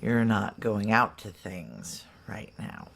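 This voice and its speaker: A woman's voice: rumbling low, big pitch swings, slow pace, vocal fry, slight background noise, exaggerated voice. An actress playing a brash, foul-mouthed, hard-boiled woman operative, wry and blunt, in a noir audio drama. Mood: blunt, dry.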